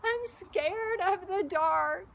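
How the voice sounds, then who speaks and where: A woman's voice: extremely high pitch, very slow, vocal fry, fairly bright tone, slight background noise, voice pushed up, heavily acted. A woman voicing an eager, curious little boy in a storybook, with exclaiming, wide-eyed delivery and stretched words. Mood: scared.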